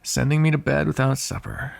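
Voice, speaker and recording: A man performs a cocky, insatiable male vampire in an ASMR roleplay. His voice sits at an average pitch for a man. He talks in a casual, sarcastic way, needling and flirting with an unseen partner and swearing freely. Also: level tone, brisk, vocal fry. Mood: playful, wheedling. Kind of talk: ASMR roleplay acting, one side of a dialogue.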